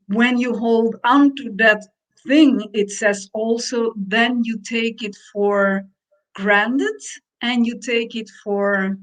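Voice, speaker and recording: A woman whose first language is Dutch, co-hosting a reflective conversation and searching slowly for her words. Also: mid-range voice, a bit bright, low-quality recording. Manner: slow, searching, thoughtful phrasing. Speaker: podcast co-host.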